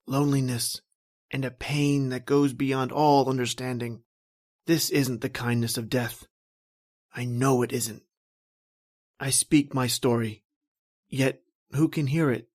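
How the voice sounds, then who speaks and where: A man's voice: mid-range voice, darkish tone. A man playing a tormented, lost husband recounting his doom in a brooding, dramatic old-time radio horror style. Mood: haunted, despairing.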